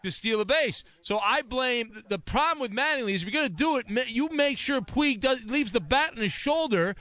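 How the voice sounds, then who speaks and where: A man's voice: very high voice, animated, a little fast, bright, forceful tone. A man hosting a sports talk radio show, taking calls and laying out his take in a conversational way. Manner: conversational, explains patiently. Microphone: studio mic.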